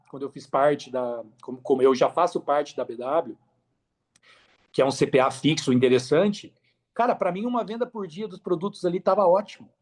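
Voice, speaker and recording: Casting: Brazilian male podcast guest, fairly high register, informal advice-giver with colloquial storytelling. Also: darkish tone. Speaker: podcast guest, affiliate marketer. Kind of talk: casual podcast conversation, giving advice.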